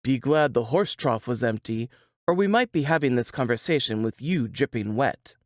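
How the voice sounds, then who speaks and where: A woman reading a storybook, voicing a gruff, grim but protective young man with a terse, sighing delivery. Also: medium pitch, animated, moderate pace, no echo, clean studio sound, pitched below the performer's own voice, natural voice. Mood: wry, teasing.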